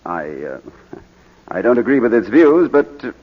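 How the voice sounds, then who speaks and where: An actor playing a middle-aged British baronet and war veteran on the witness stand, hesitant and clipped, in 1940s radio drama style. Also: male voice, medium pitch, big pitch swings, a little slow, noisy background. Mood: firm. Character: proud English baronet, war-wounded veteran testifying in court. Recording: muffled vintage 1940s radio broadcast.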